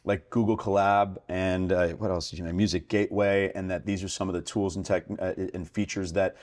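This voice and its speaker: Deep-voiced man hosting an interview. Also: steady tone, brisk, a little mellow. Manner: rambling, thinks aloud with many fillers. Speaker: podcast host. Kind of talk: conversational interview.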